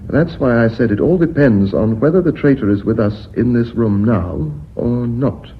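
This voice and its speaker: An actor playing a shrewd, self-assured British company man in a radio drama, speaking in a deliberate, articulate and formal way. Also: medium pitch, natural voice. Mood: measured, emphatic. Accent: British English. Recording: muffled, noisy old radio recording.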